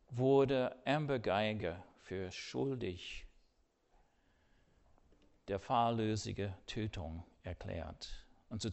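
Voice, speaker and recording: An older man preaching a sermon earnestly, swinging between loud emphasis and hushed, quiet asides. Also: on the low side.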